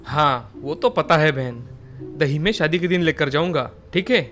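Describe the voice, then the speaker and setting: A man's voice: a little high, moderate pace, a bit bright, faint music behind, natural voice. A man playing a mild, polite village father placing an order in a storybook tale. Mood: courteous. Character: mild, courteous village customer, father of a bride. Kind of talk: storybook character dialogue.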